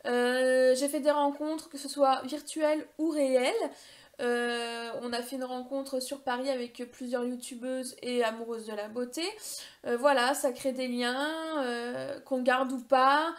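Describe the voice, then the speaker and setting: A woman's voice: high voice, fairly even intonation, a little slow. A woman in her thirties answering viewer questions in a casual vlog, chatty and rambling, with many hesitations and self-mocking humor. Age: 30s. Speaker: beauty YouTuber.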